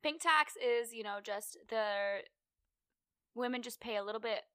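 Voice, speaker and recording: A woman's voice: fairly high pitch, measured pace, bright, forceful tone, clean tone, no room sound, studio quality. A young woman co-hosting a casual chat, talking dramatically with lots of "like". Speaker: podcast co-host.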